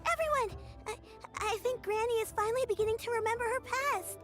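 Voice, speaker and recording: A small, devoted grandchild in a fantasy game. The voice is tiny, very high and earnest, with music clearly playing behind it. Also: female voice, fairly quick. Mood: anxious, excited. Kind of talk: video game character voice acting.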